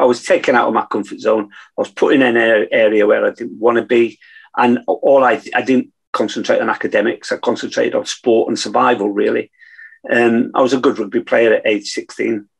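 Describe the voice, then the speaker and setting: Guest: northern English male, 60s, creaky husky voice, casual storyteller on a video call. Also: medium pitch. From Manchester, England. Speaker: podcast guest, navy veteran. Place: room at home, video call.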